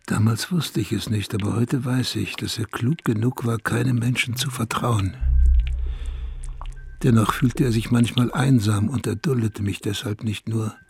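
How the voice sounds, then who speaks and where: Gravelly, low-voiced brooding villager. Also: male voice, fairly even intonation, moderate pace, full character voice. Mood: wistful, confiding. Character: brooding male villager recalling a lonely, watchful youth. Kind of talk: literary radio drama narration.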